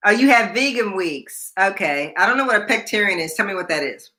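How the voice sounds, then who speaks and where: A woman's voice: medium pitch, animated, a little fast, pushed and bright. A woman in her sixties hosting a casual live stream, chatting, repeating herself and reading viewer comments aloud. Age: 60s. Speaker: livestream host.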